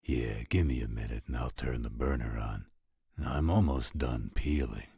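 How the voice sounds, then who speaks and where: A man playing a gruff, teasing werewolf with a husky voice in a roleplay audio drama. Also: moderate pace, mellow, no room sound, clean studio sound, full character voice. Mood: playful, flirty. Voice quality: very deep, husky. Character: gruff, teasing male werewolf with a laid-back streak.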